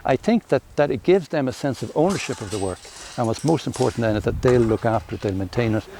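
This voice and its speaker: Reflective mid-pitched male sculptor. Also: expressive, fast, noisy background. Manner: reflective, loose explanatory talk. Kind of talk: documentary interview.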